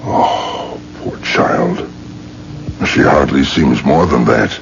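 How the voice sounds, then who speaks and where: An actor playing a revered, kindly wise rabbi with deliberate, solemn delivery in a 1970s radio drama. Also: male voice, a bass voice, wildly swooping pitch, vocal fry, exaggerated voice. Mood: kindly, concerned. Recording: muffled, noisy old radio broadcast.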